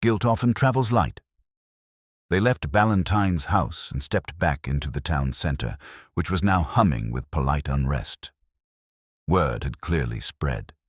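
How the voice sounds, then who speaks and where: A man narrating a scripted mystery story in a measured, deliberate manner. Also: rumbling low, animated, slightly rough, dry recording, clean studio sound.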